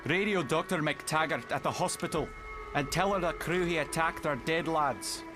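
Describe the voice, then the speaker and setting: A man's voice: on the high side, normal speed, over music, natural voice. A man acting a police sergeant with a Scottish accent in a motion comic. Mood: urgent, firm. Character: gruff Scottish police sergeant, later possessed by a gleeful mutant.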